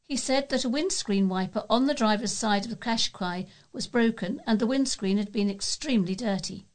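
A woman's voice: a little high, moderate pace. A woman with a British accent reads local news articles aloud as a volunteer newsreader.

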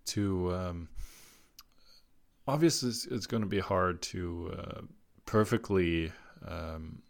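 A man co-hosting a casual film podcast, halting and full of restarts, laughing often. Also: sits low, gravelly. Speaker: podcast co-host.

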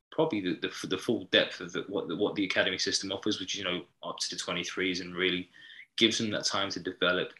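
Deep-voiced, bright-toned podcast host. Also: male voice, poor audio. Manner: long, rambling questions. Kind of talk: interview questions.

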